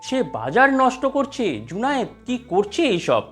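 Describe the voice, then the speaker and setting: A man voicing inquisitive townspeople and market vendors in an animated story, speaking emphatically. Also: very high-pitched, a little slow, soft background music, pitched above the performer's own voice, exaggerated voice. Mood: disapproving. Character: townspeople and rival vendors, questioning and marvelling.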